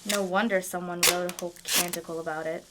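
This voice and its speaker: A woman's voice: on the low side, steady tone, fast, very noisy, close to an everyday voice. A woman playing a nerdy, caring young engineer in an audio drama, talking in a casual, exclamatory, teasing way.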